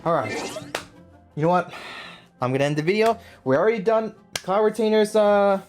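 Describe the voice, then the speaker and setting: A man's voice: high-pitched, expressive, moderate pace, darkish tone, faint music behind. A young man giving casual, slangy, repetitive reaction commentary over a video game as he plays. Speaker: gaming video host.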